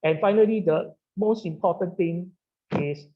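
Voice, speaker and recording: A middle-aged man with a Singaporean English accent and a slightly high voice, speaking on a webinar panel. He pauses on "uh" a great deal and checks in with "right?" as he explains. The audio is dull and lo-fi, like a video call. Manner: halting, many "uh" fillers and "right?" tags. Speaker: wine retailer and sommelier.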